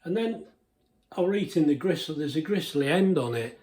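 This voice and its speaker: An older man talks through his cooking in a home kitchen. His voice sits a little high for a man, and his chat is rambling and self-deprecating. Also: animated, moderate pace, darkish tone. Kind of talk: casual cooking commentary.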